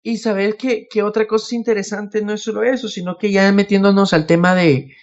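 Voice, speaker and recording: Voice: mid-range voice, steady tone, a little fast, muffled recording. A podcast host, chatting casually and telling anecdotes, often acting out what others said. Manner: acts out quoted remarks.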